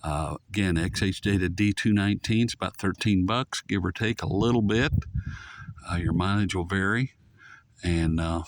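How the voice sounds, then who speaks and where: A man's voice: deep voice, moderate pace. A man giving a casual, unscripted radio demonstration with folksy enthusiasm, as a hobbyist presenter. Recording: noisy, with shortwave radio static.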